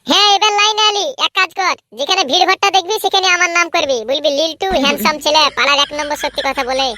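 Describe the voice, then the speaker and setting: A very high, bright cartoon boy, rattling along at great speed. He is a sly, bossy little braggart who swaggers through every sentence and orders people around. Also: fairly even intonation, fast talker, no room sound. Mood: smug. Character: boastful, sly village boy Liltu flaunting stolen cash. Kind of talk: comedy cartoon dub.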